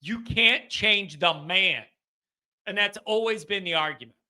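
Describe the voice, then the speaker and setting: A man hosts a call-in sports show from his home basement. His voice sits high and sounds very bright. He rambles in an animated way and pokes fun at himself. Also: a little slow. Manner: animated, rambling, self-deprecating. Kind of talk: casual call-in chat.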